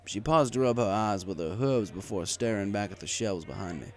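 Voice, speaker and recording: A man's voice: on the low side, lively intonation, a little fast. A man reading a scripted first-person story in the manner of an audiobook narrator. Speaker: story reader.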